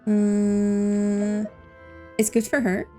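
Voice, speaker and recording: A woman livestreaming a game, chatting casually and playfully with expressive exclamations and silly filler words, game music behind her. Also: mid-range voice, drawn-out words. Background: faint video game music.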